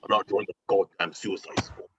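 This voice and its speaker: Man playing a fast, husky, bitter convict hitman. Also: low-pitched, flat delivery, a bit bright, muffled recording. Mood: gruff, dismissive. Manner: combative, profane, blunt. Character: bitter, foul-mouthed imprisoned hitman and reluctant father. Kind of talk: amateur script table read.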